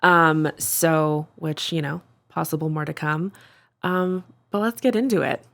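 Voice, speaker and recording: Woman, 30s, podcast co-host, low register, loose filler-heavy banter. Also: measured pace, gravelly, fairly bright tone. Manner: chatty, lots of likes and ums. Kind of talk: casual chat.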